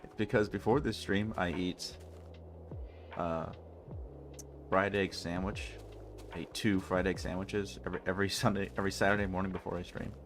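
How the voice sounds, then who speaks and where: A man's voice: low voice, flat delivery, normal speed, pauses often, music in the background. A man with a stuffy nose chatting casually and rambling jokily with his chat while streaming a game. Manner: rambling, jokey, talks to chat.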